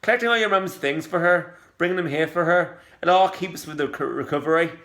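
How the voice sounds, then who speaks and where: A man's voice: on the high side, brisk, fairly bright tone, voice pushed up. A man voicing a kind female hospital worker whose voice rises at the end of every sentence. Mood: encouraging. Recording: roomy echo.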